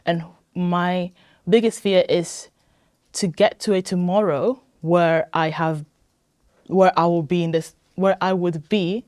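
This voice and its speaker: A woman's voice: lowish voice, steady tone, slow pace, a little mellow, dry recording, studio quality. A woman in her twenties from Italy, chatting casually and emphatically as a guest in an interview. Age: 20s. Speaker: podcast guest, weight loss programme member.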